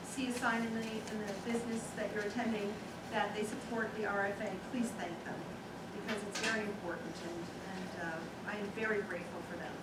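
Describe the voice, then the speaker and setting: A woman hosting at a theater, giving an informal, halting pre-show announcement. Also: on the high side. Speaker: theater host introducing a show. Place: theater, recorded from afar. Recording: echoey theater, distant mic, loud noise.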